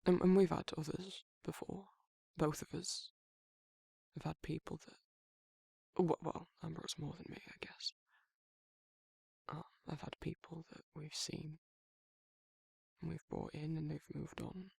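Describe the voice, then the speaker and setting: A protective male werewolf, acted by a man murmurs with a British accent in a close, very dry ASMR roleplay. The voice sits a little high for a man, yet it is thick with crackling fry. The delivery is halting and awkward, tender and shy. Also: little pitch movement, dry recording, full character voice. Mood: earnest, reassuring. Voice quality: husky, gravelly, breathy. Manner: halting, hesitant, self-correcting, softly murmured. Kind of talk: intimate ASMR romantic roleplay.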